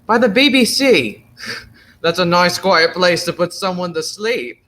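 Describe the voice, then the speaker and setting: Guy playing snide gossipy bloke, kinda high bright voice, 1930s radio show. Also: lively intonation, unhurried, full character voice. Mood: wry, cynical. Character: cynical, gossipy working-class London male train passenger. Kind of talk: 1930s radio play acting.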